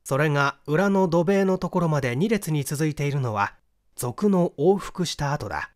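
A man's voice: on the high side, expressive, normal speed. A man reading a mystery novel aloud as scripted literary narration. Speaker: audiobook reader.

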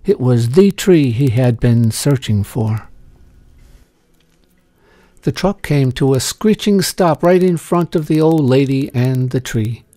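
A man reading a short story as scripted narration, in a gentle, measured storytelling manner. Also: medium pitch, animated, no echo. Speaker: story reader.

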